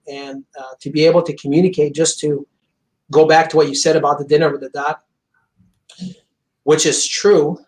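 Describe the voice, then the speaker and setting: Earnest man, flat delivery. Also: a little high, low-quality recording. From Montreal, Canada. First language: French. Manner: earnest, intense, many "you know" fillers. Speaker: chiropractor guest. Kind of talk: interview over video call.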